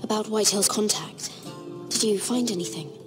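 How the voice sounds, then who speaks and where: A woman's voice: medium pitch, normal speed, close to an everyday voice. A young woman playing a guarded, determined young noble handmaiden in a video game drama. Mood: worried, guarded. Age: young adult. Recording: music playing behind the voice.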